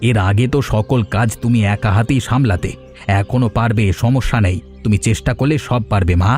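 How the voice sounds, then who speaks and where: Guy doing earnest young cartoon son, talks fast, soft dark tone. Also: mid-range voice, faint music behind, lightly acted. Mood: protesting. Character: dutiful young adult son, earnest, reasoning. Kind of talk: Bengali cartoon voice acting.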